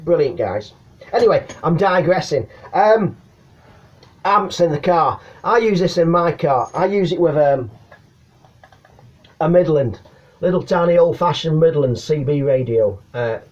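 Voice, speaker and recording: A man's voice: on the high side, expressive, unhurried, lo-fi sound. A middle-aged man with an English accent giving casual, slangy advice to camera, hesitating and repeating words as he goes. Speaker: CB radio hobbyist giving advice. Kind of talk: casual chatty explainer to camera. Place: small attic room at home.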